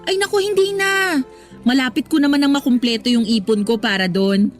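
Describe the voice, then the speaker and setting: A woman's voice: sits high, brisk, natural voice. Actress doing a young filipino office lady, hardworking n a bit defensive, radio drama, music under it. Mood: firm, reassuring. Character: Lindsay, hardworking woman office employee, evasive but reassuring.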